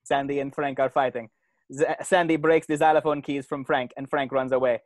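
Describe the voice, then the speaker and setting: A man reads out cartoon stage directions as a scripted narrator. His pitch is average and the sound is dry, with little room around it. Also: level tone, fairly quick, no room sound.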